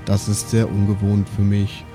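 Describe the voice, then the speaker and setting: A man's voice: lowish voice, monotone, normal speed, over music. A man playing in a tabletop role-playing podcast, chatting casually in a laid-back way. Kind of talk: casual chat.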